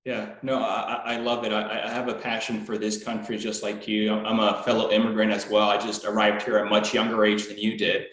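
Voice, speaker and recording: Interview host in a roomy room. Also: male voice, lowish voice, monotone, a little fast, hardly any pauses, a bit bright. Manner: friendly, short affirmations. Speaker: business show host. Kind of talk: interview hosting. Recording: roomy echo.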